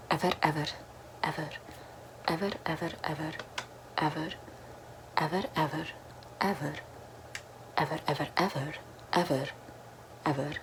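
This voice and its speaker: Young woman, low and breathy deadpan whisper, parody ASMR, close webcam, noisy home recording. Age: young adult. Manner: deadpan mock-mystic whispering. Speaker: ASMR creator. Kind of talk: parody ASMR role-play. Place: small bedroom with floral backdrop, indoors.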